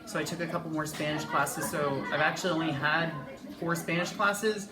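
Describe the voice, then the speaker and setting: A woman's voice: low-pitched, moderate pace. A woman in her thirties, a Spanish teacher, talking casually and matter-of-factly in a school news interview. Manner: casual, matter-of-fact storytelling. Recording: echoey, far from mic, loud crowd behind.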